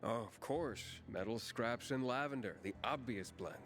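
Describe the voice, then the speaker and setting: A man's voice: medium pitch, moderate pace, a bit bright, soft background music, lightly acted. A man acting a blunt, wry young survivor in a video game cutscene. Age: young adult.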